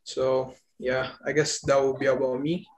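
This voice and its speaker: Young guy on a casual podcast, pretty flat pitch, kinda hesitant explaining stuff. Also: measured pace. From Ethiopia. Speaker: podcast guest.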